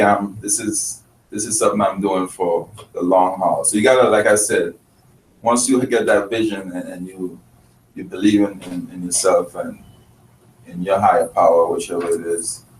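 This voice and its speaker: Low monotone guy from the caribbean, earnest chef interview, echoey room, faint hiss. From Grenada and Trinidad. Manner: earnest, thoughtful, many restarts. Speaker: chef and restaurant owner. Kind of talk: casual interview talk. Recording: roomy, distant mic, faint noise.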